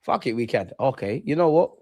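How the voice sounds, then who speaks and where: A young man with a London accent hosting a football watch-along, chatting casually and excitably in slang with his viewers. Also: medium pitch, a little fast. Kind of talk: casual live reaction commentary and chat with viewers.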